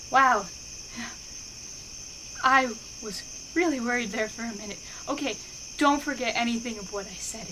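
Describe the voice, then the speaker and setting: A woman playing a shy, flustered, affectionate best friend in an intimate ASMR roleplay, speaking haltingly and bashfully. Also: on the high side. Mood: overwhelmed, bashful. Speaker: voice actor in an audio roleplay. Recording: clear insect and outdoor ambience noise. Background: crickets and night ambience.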